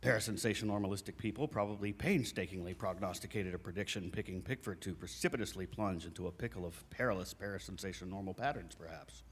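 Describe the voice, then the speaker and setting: A man's voice: low voice, fast talker, few pauses, slightly rough. Man playing a pompous ghost hunter in an echoing hall. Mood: ominous, pompous. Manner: grandiose, theatrical. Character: pompous, self-important male ghost-hunter reality show host. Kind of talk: live comedy audio sketch acting. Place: recreation center hall, live stage performance. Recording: hall reverb of a live show.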